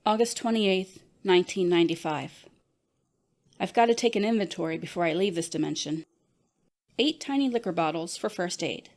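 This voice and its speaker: A woman's voice: lowish voice, lively intonation, normal speed, a little mellow. A woman reading a wry diary entry. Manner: dry, wry asides. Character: woman writing a travel diary, dry humor. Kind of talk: diary narration.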